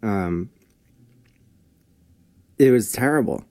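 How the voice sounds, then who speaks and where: A man's voice: lowish voice, expressive, drawn-out words, darkish tone. A young man, a guest musician, chatting casually and laughing often at himself. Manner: laughs often, self-deprecating. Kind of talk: casual podcast chat.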